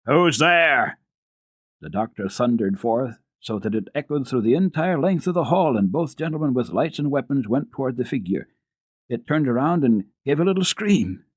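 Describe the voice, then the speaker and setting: Husky male storyteller. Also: mid-range voice, no echo. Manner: deliberate, expressive storytelling. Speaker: narrator, radio storyteller. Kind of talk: dramatic reading of children's classic.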